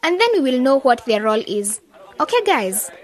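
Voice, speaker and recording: A woman's voice: sits high, very animated, normal speed. A woman acting a level-headed, persuasive young journalism student in a scripted radio drama. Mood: earnest, persuasive. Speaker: actor in an educational radio drama.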